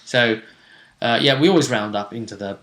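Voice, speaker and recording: Chatty game master in an echoey room. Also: male voice, mid-range voice, moderate pace, a bit bright. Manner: chatty, wry, jokes about the fight. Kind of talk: recapping a tabletop game session.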